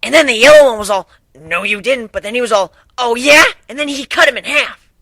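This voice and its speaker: A man voicing a meek, excitable little girl dragon in a childlike way for a story reading. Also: very high-pitched, fairly quick, no echo, voice pushed up, exaggerated voice. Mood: excited.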